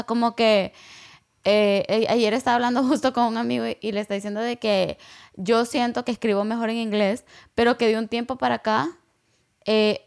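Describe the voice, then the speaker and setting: Rambling young woman, slightly high voice. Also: creaky. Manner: reflective and rambling, many fillers, drops English words. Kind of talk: casual conversation.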